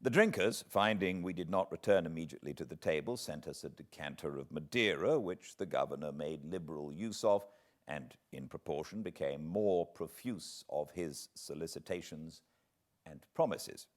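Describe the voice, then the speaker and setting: A British man reading a classic book aloud as an audiobook narrator, with measured, theatrical phrasing. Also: very deep voice, animated. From Britain. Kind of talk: expressive literary audiobook reading.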